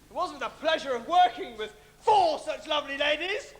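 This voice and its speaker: A man's voice: very high voice, animated, brisk. A man playing an exasperated, blustering tribesman in an amateur comic stage pantomime, flustered and exclamatory. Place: theatre stage. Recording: echoey stage recording, background hiss.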